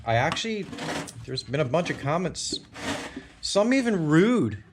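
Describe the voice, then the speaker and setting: A man's voice: on the high side, very animated, measured pace, noisy background. A man with a Newfoundland accent hosting a casual do-it-yourself car repair video, talking through his work with lots of fillers and restarts. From Newfoundland, Canada. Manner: chatty, rambling, with frequent fillers and restarts. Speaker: channel host. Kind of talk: casual DIY garage tutorial. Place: home garage.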